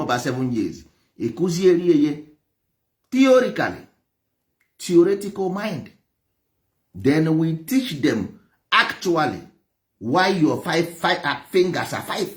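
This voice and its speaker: A man with an Igbo Nigerian accent and Igbo as his first language, preaching and lecturing with passion, stressing points through repetition and rhetorical questions. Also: mid-range voice. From Nigeria, Igboland. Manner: emphatic, repeats phrases, rhetorical questions. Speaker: traditional religion teacher.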